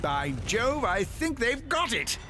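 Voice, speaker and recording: An actor playing a jolly, theatrical British narrator of a 1930s-style adventure serial, with plummy delivery. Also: male voice, very high-pitched, big pitch swings, slow, exaggerated voice. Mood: rousing, jaunty. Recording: loud music behind the voice.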